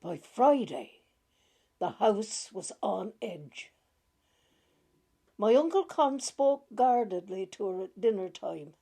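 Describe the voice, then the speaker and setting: Woman reading a short story, gentle n unhurried, soft mellow tone w some creak. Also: mid-range voice, expressive. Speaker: reader of short stories.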